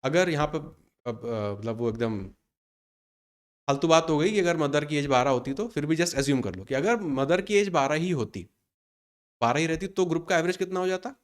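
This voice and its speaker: A man's voice: fairly high pitch, animated, moderate pace. A man in his thirties teaching an exam-prep maths lesson in Hindi mixed with English terms. He explains step by step and answers his own questions. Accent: Indian Hindi with English terms mixed in. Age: 30s.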